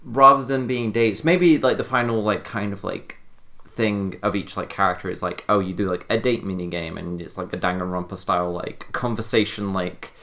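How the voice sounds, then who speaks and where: A podcast co-host chatting casually and brainstorming in an excitable, rambling, halting way. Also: deep voice.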